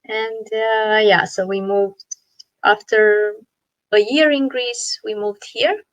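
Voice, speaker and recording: A woman from Romania with a Romanian accent, a coach telling her story reflectively in an online interview, with many pauses and fillers. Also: mid-range voice, steady tone, no echo, low-quality recording. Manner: reflective, with many "uh" and "you know". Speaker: guest, NLP coach and founder. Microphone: video call.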